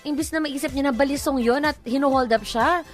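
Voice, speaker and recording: Female Filipino radio sidekick, high voice, giggly and chatty, faint music bed underneath. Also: fairly quick. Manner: bubbly, giggly. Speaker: radio co-host. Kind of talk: casual radio banter.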